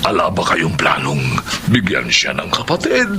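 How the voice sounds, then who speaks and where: A man's voice: sits low, wildly swooping pitch, normal speed, creaky, background noise, exaggerated voice. An actor playing a blunt, nagging older father and grandfather in a Filipino radio drama. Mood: chiding.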